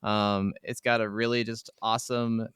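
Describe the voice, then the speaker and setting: A man's voice: on the low side, flat delivery, moderate pace, a bit bright, clear, resonant tone, no echo, studio quality. A man co-hosting a gaming podcast in casual chat, enthusiastic and free with slang and swearing.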